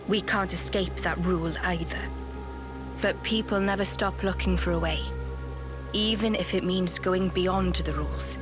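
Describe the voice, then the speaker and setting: An actress voicing a wise, solemn woman leader in a video game cutscene, explaining things in a measured, grave way. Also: lowish voice, lightly acted.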